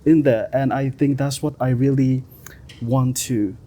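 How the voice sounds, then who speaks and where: A man talking as a filmmaker guest on an interview podcast, hesitant, filling pauses with "like" and "um" and loose grammar. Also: medium pitch, steady tone, mellow.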